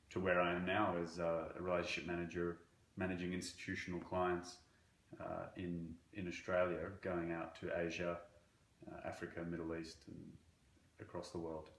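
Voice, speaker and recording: Low flat guy, lots of uh and restarts, bit of room echo and faint background hum. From Croatia. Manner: halting, with frequent fillers and restarts. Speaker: banking relationship manager. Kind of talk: interview answers.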